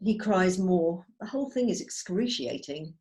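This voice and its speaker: A woman's voice: lowish voice, expressive, normal speed, a little mellow. A woman narrating the stage directions of a script in a table read over a video call.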